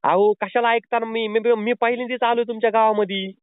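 A man's voice: very high-pitched, level tone, fairly quick, clear, resonant tone, no room sound. A man making a prank phone call, posing as a delivery man, insistent and pleading. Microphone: phone line. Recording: tinny phone call recording.

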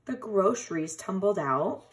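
Woman, children's storybook read-aloud, slow pace, animated pitch, expressive teacher delivery. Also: slight background noise. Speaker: teacher reading a picture book aloud.

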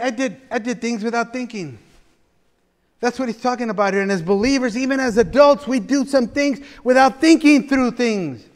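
A middle-aged man preaches from the pulpit of a large church. His voice is high for a man, and he talks in an animated, joking way. A long hall reverb trails every phrase. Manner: animated, gesturing preacher with asides and playful humor. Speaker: pastor. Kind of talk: sermon.

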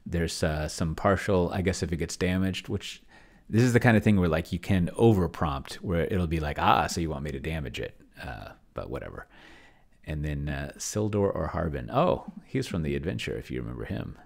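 A man's voice: very deep voice, expressive, moderate pace, creaky. A middle-aged man giving casual think-aloud commentary on a screen share, rambling and muttering to himself as he reads and types. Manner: rambling, muttering to himself while reading and typing. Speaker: host, solo roleplayer testing an AI dungeon master. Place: indoor home room with shelves.

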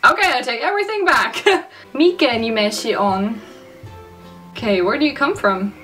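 A woman's voice: fairly high pitch, expressive, sharp tone, recorded from a distance, faint music behind. Chatty German woman vlogging. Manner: chatty, self-mocking, sounds out words slowly. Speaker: YouTuber. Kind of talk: casual language-learning vlog. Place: bedroom, indoors. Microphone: studio mic off camera.

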